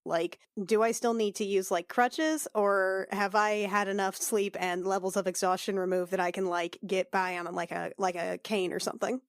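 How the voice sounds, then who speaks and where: A woman's voice: mid-range voice, steady tone, normal speed, few pauses, dry recording. A woman talking casually as a player in a tabletop game, with a dry, informal manner. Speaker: player in a tabletop role-playing podcast.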